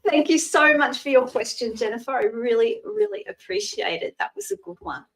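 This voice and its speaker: A Scottish woman in her sixties giving a live tarot reading, chatting in a gentle, reassuring way and using terms of endearment. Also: high-pitched, sing-song, moderate pace, vocal fry, far from the mic, poor audio. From Scotland. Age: 60s. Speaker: psychic tarot reader.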